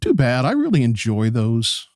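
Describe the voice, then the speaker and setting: A man's voice: mid-range voice, huge pitch swings, moderate pace, darkish tone, no echo. A courteous, chatty host in an old-time radio play. His mid-range voice leaps about with announcer flair. The sound is dry and close. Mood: teasing. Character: chatty, polite male 1910s radio show host. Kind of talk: radio play interview patter.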